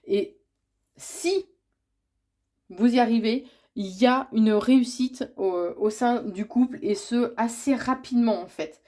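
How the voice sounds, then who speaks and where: A woman's voice: on the high side, a little slow, dark tone. A woman with a cold giving a yearly horoscope reading, hesitant and full of fillers and restarts, relaying what she says she is told. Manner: speaks as if receiving messages. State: has a cold, congested. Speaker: tarot reader.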